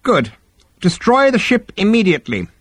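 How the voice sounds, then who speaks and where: A man voicing a smug, slippery alien psychiatrist from an audiobook, with bright, breezy professional patter. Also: sits high, huge pitch swings, normal speed, husky, no room sound, studio quality, pitched above the performer's own voice, exaggerated voice. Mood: smugly cheerful.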